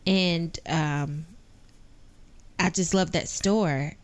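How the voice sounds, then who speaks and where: A woman in her forties co-hosts a family podcast from home. She speaks slowly in a slightly low voice, and her talk is relaxed and playful. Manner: unhurried, chatty, playful. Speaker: podcast co-host. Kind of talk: casual family podcast chat.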